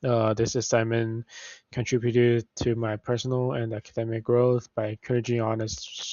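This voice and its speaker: A young man from China, a native Mandarin speaker with a Mandarin Chinese accent, presenting a class assignment as a student, halting, with frequent restarts and fillers. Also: mid-range voice, a little mellow, no room sound, lo-fi sound.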